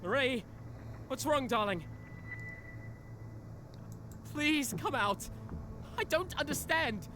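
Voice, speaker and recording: A woman's voice: high-pitched, lively intonation, unhurried, voice pushed up. A woman voicing an anxious painter who pleads with a loved one in a gothic horror visual novel. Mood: anxious pleading. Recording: clear background noise.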